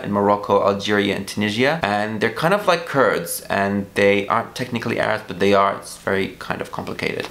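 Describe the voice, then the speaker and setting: A man's voice: on the low side, a bit bright, roomy sound. A man who speaks Arabic as a first language, chatting casually to camera about books he bought, enthusiastic and a little hesitant with small hedges. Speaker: book vlogger. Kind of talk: casual book haul chat to camera.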